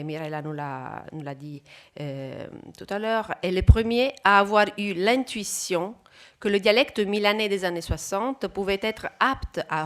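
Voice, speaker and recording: A woman from Italy, giving a careful, measured talk at an academic conference. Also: medium pitch. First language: Italian. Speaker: conference speaker.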